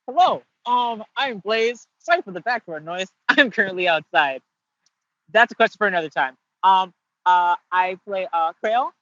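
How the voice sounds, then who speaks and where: A man voice actor calling in from outdoors, energetic and upbeat but apologetic. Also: high voice, no echo, dull audio. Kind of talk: casual podcast chat.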